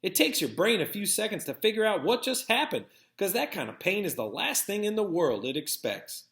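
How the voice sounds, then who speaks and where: A man reading a first-person story aloud as narrator, with an expressive, folksy storytelling manner. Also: high voice, fairly quick. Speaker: read-aloud narrator, teacher. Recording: echoey, roomy sound.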